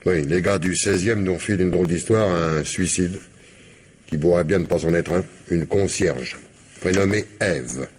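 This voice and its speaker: A man acting a brisk, weary, wry police commissioner in a French radio crime drama, with a French accent and blunt colloquial delivery. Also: low-pitched, a little mellow, slight background noise, natural voice.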